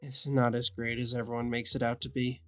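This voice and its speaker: A woman's voice: a bass voice, flat delivery, a little fast, soft background music. A woman playing a weary, sardonic young male witch in an audio fantasy drama, dry and grumbling. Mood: sullen.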